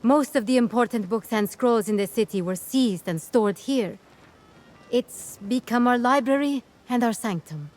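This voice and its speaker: An actress playing an earnest, caring young scholar in a fantasy game, expressive and articulate. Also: female voice, medium pitch, natural voice.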